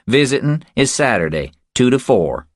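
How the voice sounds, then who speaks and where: A man's voice: medium pitch, very animated, normal speed, no echo, studio quality, voice pushed up, close to an everyday voice. A man voicing a stern, bored middle-aged woman jail bailiff, curt and folksy, in an audiobook. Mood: curt, guarded. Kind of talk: audiobook character voice.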